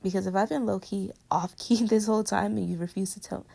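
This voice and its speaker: A woman's voice: mid-range voice, steady tone, brisk, creaky, mellow. A woman playing a playful, affectionate girlfriend in a casual, intimate pillow-talk roleplay, teasing her partner. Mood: playful, curious. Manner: casual, teasing, conversational with giggles. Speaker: audio roleplay voice actor.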